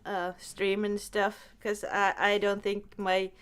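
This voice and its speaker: A woman's voice: medium pitch, flat delivery, slow, fairly bright tone, clear, resonant tone. A woman hosting a casual livestream chat in a giggly, jokey way. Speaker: podcast host and streamer.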